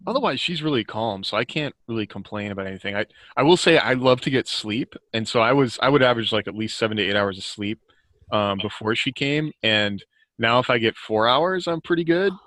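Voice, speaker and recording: A man's voice: on the low side, animated, moderate pace, sharp tone, no room sound. An American man chatting casually and telling anecdotes as a podcast guest, informal and often chuckling as he talks.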